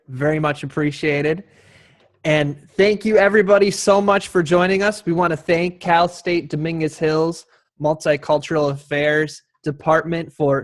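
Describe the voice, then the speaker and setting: A man with a fairly high voice hosts an online town hall. He speaks courteously to his guests and the audience, in a grateful, devout manner. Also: studio quality. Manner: courteous, thankful, devout phrasing. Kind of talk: live online panel hosting, addressing guests and audience.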